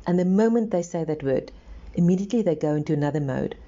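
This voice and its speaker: Earnest woman, mellow voice. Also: on the low side, animated, fast talker, muffled recording. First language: Afrikaans. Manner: earnest, reassuring, repeats for emphasis. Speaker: relationship advisor. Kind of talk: advice monologue.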